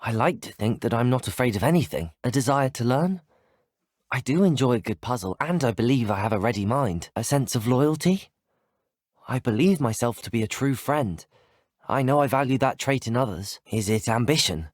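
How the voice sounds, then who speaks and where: A man's voice: medium pitch, lively intonation, moderate pace, dry recording, studio quality, lightly acted. A young man with a British accent, acting as a polite, curious teenage wizard schoolboy in a video game, speaking in a courteous, formal, well-spoken way. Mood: earnest, thoughtful. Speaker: voice actor for a player character. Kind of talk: video game character dialogue.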